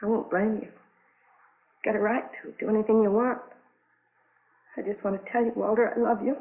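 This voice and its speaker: An actress playing a distraught, guilt-ridden army wife who pleads and repeats herself in a 1950s radio drama. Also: female voice, mid-range voice, level tone, fairly quick, natural voice. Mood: tearful remorse. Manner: pleading, repetitive. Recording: muffled narrow-band old radio recording.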